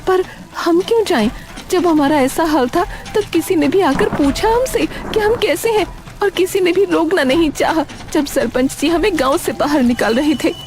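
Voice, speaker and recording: A woman voicing a village mother who sells food, gentle but aggrieved, in an animated story. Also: very high voice, moderate pace, pitched above the performer's own voice. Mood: hurt, worried. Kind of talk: animated story character acting.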